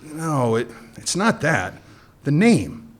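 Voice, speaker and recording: A man playing a husky, hard-bitten smuggler boss. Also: on the high side, very animated, takes it slowly, full character voice. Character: smuggler boss Jonathan, tough but shaken. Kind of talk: radio play acting.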